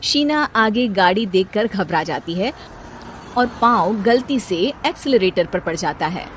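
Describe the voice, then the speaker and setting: A woman's voice: mid-range voice, animated, measured pace, slight background noise. A woman reading scripted narration for a cartoon story.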